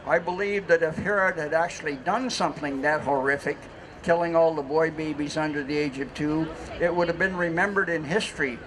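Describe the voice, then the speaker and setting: An older man reading a prepared speech in a measured way on an outdoor city stage. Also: on the high side. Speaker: festival speaker. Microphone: mic on stand through PA. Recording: outdoor street noise.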